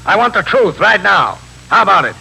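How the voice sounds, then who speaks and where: Gravelly, stern police captain. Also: male voice, high voice, sing-song, a little fast, bright, forceful tone, heavily acted. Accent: American. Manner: stern, plain-spoken, clipped. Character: weary, firm police captain of a New York precinct. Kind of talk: 1950s radio drama acting and first-person narration. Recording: noisy 1950s radio broadcast recording.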